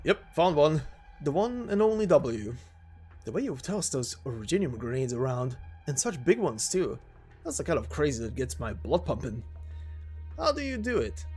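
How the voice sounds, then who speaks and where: An amateur voice actor playing a brash, excitable mercenary recruiter with a loud, swaggering manner in a game story. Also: medium pitch, normal speed, husky. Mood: thrilled, pushy. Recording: music under the voice.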